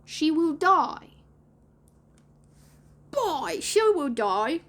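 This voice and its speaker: A woman voicing an earnest, excitable little boy in a storybook, with emphatic, drawn-out childlike speech. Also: high-pitched, pitched above the performer's own voice, heavily acted. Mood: worried. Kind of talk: storybook read-aloud character voices.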